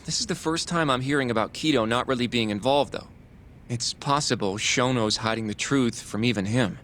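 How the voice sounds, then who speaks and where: A man's voice: medium pitch, brisk, fairly bright tone, a little hiss or hum, natural voice. A man playing an earnest, analytical young investigator in an English-language video game, explaining things with an American accent. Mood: questioning. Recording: music behind the voice.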